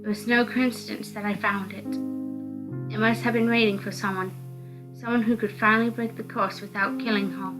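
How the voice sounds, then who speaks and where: A woman's voice: medium pitch, steady tone, brisk, rough recording. Woman narrating a visual novel over music. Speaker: host of a visual novel read-through. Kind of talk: dramatic narration, reading a visual novel aloud. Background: background music.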